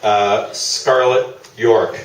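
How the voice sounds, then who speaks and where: A man giving informal, off-the-cuff thanks as playwright at a live curtain call, halting as he goes. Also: on the low side, fairly even intonation. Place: theatre stage before an audience. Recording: echoey theatre, distant mic, loud audience noise.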